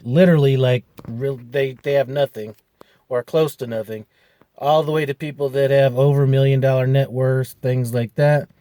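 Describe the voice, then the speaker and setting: A man's voice: medium pitch, mellow, dry recording. A man in his fifties, a truck driver, chatting casually to camera in a rambling way with lots of fillers and restarts. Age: 50s. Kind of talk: casual vlog chat.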